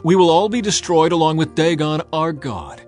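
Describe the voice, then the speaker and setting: Panicked ancient townspeople voiced by a male narrator plead urgently in a scripture drama. The voice is raised in pitch, with faint music behind it. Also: expressive, moderate pace, darkish tone, close to an everyday voice.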